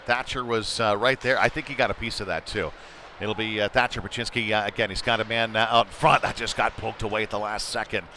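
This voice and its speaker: A man's voice: mid-range voice, a little hiss or hum. A man giving informal, conversational play-by-play commentary on an amateur hockey game. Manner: conversational and informal, excited when play heats up. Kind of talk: live amateur sports commentary.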